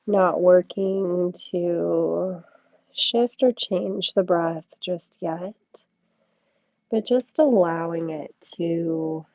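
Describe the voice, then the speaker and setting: A woman leading a yoga class with slow, soothing guided instruction, speaking in short phrases with long pauses. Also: fairly low pitch, no room sound. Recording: thin, phone-like band-limited sound, dry.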